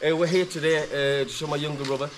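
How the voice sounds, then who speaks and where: Man, slightly high voice, rambling joking tour guide, light hiss behind. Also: fairly even intonation, fast talker, echoey room. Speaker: vlogger. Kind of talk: casual chat, touring a village house. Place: old family house in a village, indoors and on upper floor.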